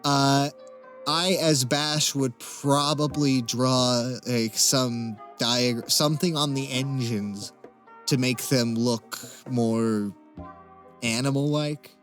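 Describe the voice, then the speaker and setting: A man chatting casually as a Q&A guest, talking in a hesitant, wry and self-deprecating way. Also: medium pitch. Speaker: interview guest, amateur voice actor. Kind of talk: casual Q&A chat.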